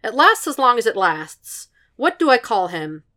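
A woman voicing an earnest young man who speaks with eager, rising, loud bursts. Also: on the high side, sing-song, normal speed, voice pushed up.

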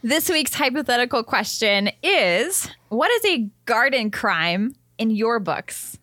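A woman's voice: a little high, very animated, takes it slowly, pushed and bright, no echo, soft background music. Chatty Canadian woman, close dry podcast sound. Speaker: gardening podcast host. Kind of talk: casual co-hosted podcast chat.

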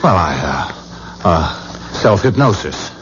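A man's voice: low voice, big pitch swings, takes it slowly, a bit bright, full character voice. An actor playing a sceptical, level-headed man in a 1970s radio drama, reasoning and insistent. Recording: dull, faintly noisy old radio recording.

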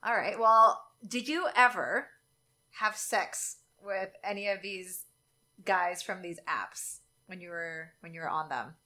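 A young woman co-hosting a casual podcast chat, candid and quick to laugh. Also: fairly low pitch, sharp tone. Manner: chatty, candid, laughs often. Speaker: podcast co-host.

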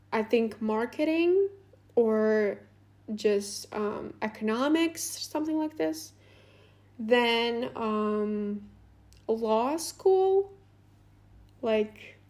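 Young Russian-native woman from Latvia, solo podcast host: unhurried, a touch high, loose and rambling. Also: darkish tone. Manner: rambling, many fillers and restarts. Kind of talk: casual unscripted monologue.

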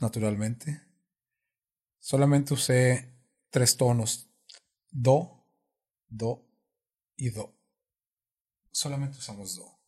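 A man's voice: mid-range voice, darkish tone. A man, a guest sound engineer in a music studio, patiently explaining synthesizers and sound, repeating and restarting words as he thinks.

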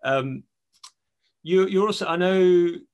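A man interviewing a guest casually, haltingly rephrasing his questions as he goes. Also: high-pitched, a little mellow, dull audio.

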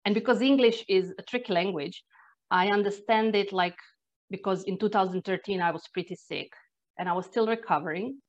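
A woman's voice: mid-range voice, a little fast. A Croatian woman with an accent, a non-native English speaker, telling personal stories in a reflective, earnest way as an energy therapist guest. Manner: reflective, earnest, with sighs and restarts. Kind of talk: personal storytelling in conversation. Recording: dull lo-fi sound.

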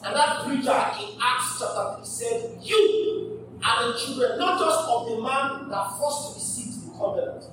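A man's voice: very high-pitched, expressive, unhurried. A man preaching a church sermon, emphatic and repeating phrases as he asks the congregation questions. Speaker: pastor. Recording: distant mic in an echoey hall with background noise.

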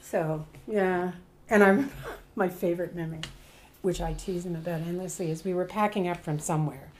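Older Canadian woman reminiscing, low-pitched. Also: steady tone, a little fast, a little mellow, slight background noise. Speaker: veteran stage actor being interviewed. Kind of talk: casual reminiscing, storytelling.

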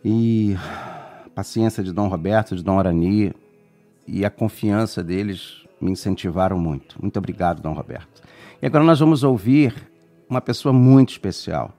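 A man's voice: on the low side, moderate pace, mellow, soft background music. A man hosting a live radio program, talking in an effusive, rambling way full of restarts. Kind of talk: warm live radio presenting.

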